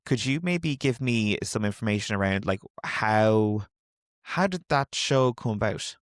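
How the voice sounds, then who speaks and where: A man's voice: on the low side, animated, unhurried, no room sound, clean studio sound. A man hosting a casual, conversational interview. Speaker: interviewer, podcast host.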